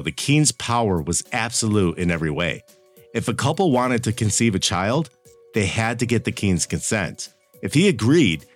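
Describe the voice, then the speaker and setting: A man hosting a podcast in casual chat, with a wry, blunt manner. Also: lowish voice. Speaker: podcast host. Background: background music.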